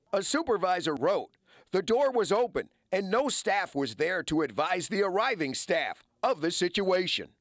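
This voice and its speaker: A man with an American accent reporting in a broadcast news style as a television investigative reporter. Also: high voice.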